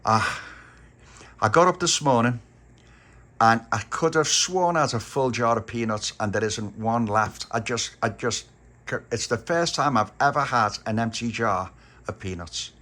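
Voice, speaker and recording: A man's voice: mid-range voice, a little slow, bright, forceful tone, pitched above the performer's own voice. A man voicing a chatty, joking shopkeeper. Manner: chatty, teasing, storytelling. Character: chatty joking male corner-shop keeper.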